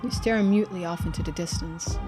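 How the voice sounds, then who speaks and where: A woman's voice: on the low side, a little fast, over music. A woman game streamer reading a visual novel aloud, switching into playful, chatty live commentary.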